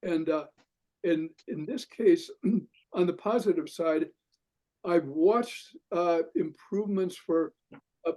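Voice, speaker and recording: An older man speaking slowly with many pauses in a group discussion. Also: on the high side, mellow, poor audio. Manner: measured, halting with many pauses and fillers. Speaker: discussion participant.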